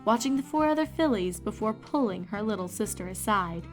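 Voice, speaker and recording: A woman's voice: mid-range voice, lively intonation, brisk, faint music behind. A woman reading scripted story narration as the narrator of a fan fiction.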